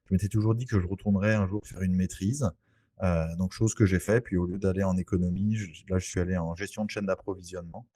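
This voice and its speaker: A man's voice: low voice, steady tone, fast, warm tone, dry recording. A man talks informally in an interview, explaining things in a conversational way. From French Antilles, Saint-Barthélemy. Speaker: podcast guest, real estate investor.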